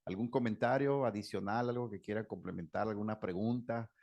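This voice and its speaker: Gravelly, low-voiced man lecturing. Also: fairly even intonation, brisk, darkish tone. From Mexico. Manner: conversational, anecdotal, laughs often, many fillers. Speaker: psychotherapist giving a talk. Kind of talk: informal lecture to a group.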